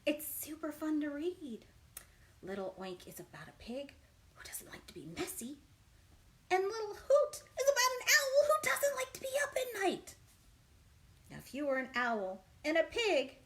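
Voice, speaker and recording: A woman working as a children's librarian, leading storytime with an exaggerated, encouraging manner and questions to young listeners. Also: extremely high pitch. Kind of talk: animated children's storytime read-aloud and chat.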